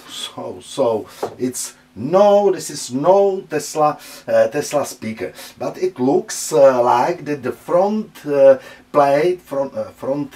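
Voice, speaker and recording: A man with a Czech accent hosting his own channel, talking casually in halting English as he shows vintage speakers on a table, often stopping with "uh" to find his words. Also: medium pitch, mellow. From Czech Republic. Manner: halting, searching for English words, many "uh" fillers. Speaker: channel host. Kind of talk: casual hands-on speaker demo.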